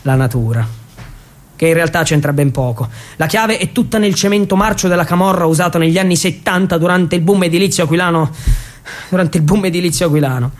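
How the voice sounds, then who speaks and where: Casting: male stage storyteller, high-pitched voice, theatrical and ironic delivery of a narrative monologue. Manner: theatrical, with deliberate repetitions and ironic asides. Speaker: actor and storyteller.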